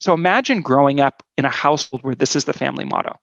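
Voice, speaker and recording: A man's voice: mid-range voice, animated, quick pace, vocal fry, no room sound, dull audio. A man in his forties, raised in Switzerland, telling his personal story in a conversational talk, with many "um"s and a tag of "right?". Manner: conversational, frequent "right?" and "um" fillers. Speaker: guest speaker.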